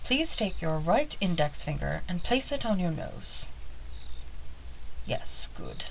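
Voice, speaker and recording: A woman playing a quirky, scholarly plague doctor in an ASMR examination roleplay, speaking softly in formal, polite, wordy phrases. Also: fairly low pitch, big pitch swings, slow pace, creaky, a little hiss or hum, full character voice, rough recording. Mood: calm, instructing.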